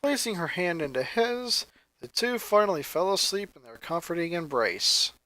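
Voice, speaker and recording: A man reading a scripted story aloud as an expressive narrator who sometimes stumbles over words. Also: high-pitched, normal speed, no room sound. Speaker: fan fiction reader.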